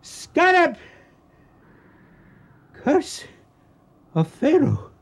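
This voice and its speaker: A man playing a frightened, superstitious Egyptian workman in a radio drama, speaking urgent, halting broken English with an Egyptian accent. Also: very high voice, big pitch swings, gravelly, heavily acted. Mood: fearful, pleading.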